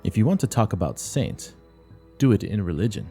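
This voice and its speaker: Guy playing a strict old nun principal, low dark voice, curt and preachy, faint music behind. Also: sing-song, a little fast, creaky. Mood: brisk, watchful. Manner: curt, severe, moralizing. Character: stern, strict older nun and school principal. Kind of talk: dramatic play reading.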